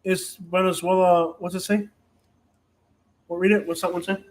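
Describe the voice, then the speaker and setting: A man's voice: high voice, little pitch movement, moderate pace. A man from Cuba, hosting a casual live chat and rambling with frequent restarts and fillers. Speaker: host.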